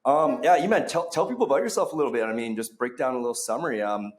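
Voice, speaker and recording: A man's voice: medium pitch, very fast. A man hosting a podcast in casual chat, rambling with many fillers and restarts. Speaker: podcast host. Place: bar under construction. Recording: echoey room.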